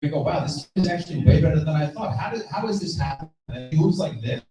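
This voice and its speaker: A man's voice: on the high side, animated, quick pace, distant mic, over music. A man on a live sports panel, giving his opinion in emphatic, dramatic phrases. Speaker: baseball panelist. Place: live convention panel stage. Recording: echoey room, off mic, crowd behind. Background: live audience.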